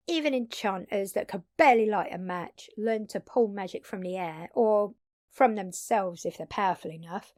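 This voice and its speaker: A woman playing a brash, excitable undead vampire in an audio drama, talking casually and expressively straight to the listener with salty language and dramatic pauses. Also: medium pitch, no room sound, studio quality. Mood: reassuring. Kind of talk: intimate audio drama roleplay addressed to listener.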